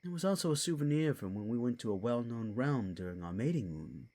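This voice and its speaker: A man's voice: medium pitch, lively intonation, a little fast, warm tone. A man playing a folksy, theatrical, wryly doom-laden creature in an audio drama. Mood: gloomy, wry.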